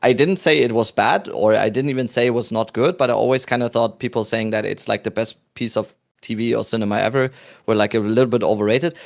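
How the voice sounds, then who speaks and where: Male host, 30s, German accent, lowish gravelly voice, easygoing rambling delivery. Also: fast. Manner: relaxed, rambling with restarts. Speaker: podcast host. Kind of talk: casual chat.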